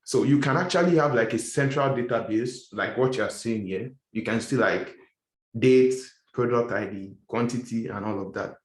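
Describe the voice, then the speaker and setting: A man's voice: medium pitch, moderate pace. Man teaching a class in an echoey room. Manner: explanatory, repeats and rephrases points. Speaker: instructor. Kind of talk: classroom lecture.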